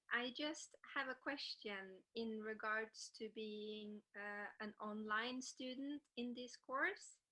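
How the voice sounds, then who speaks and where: A woman's voice: medium pitch, pushed and bright, rough recording. A woman from Norway, a prospective student, politely asking a question on a video call. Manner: polite, measured.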